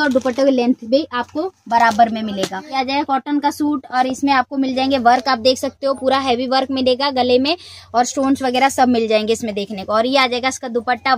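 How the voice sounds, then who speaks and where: A woman hosting a shop video who shows suits one after another and talks in a chatty, repetitive sales patter. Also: sits high. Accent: Hindi speaker from North India. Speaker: shop video host showing wholesale suits. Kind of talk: product showcase sales pitch.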